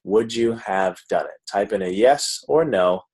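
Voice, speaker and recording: A man presenting a network marketing pitch on an online webinar, speaking informally and chattily to his audience in a salesy way. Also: unhurried, a little mellow, slightly rough. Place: live video call.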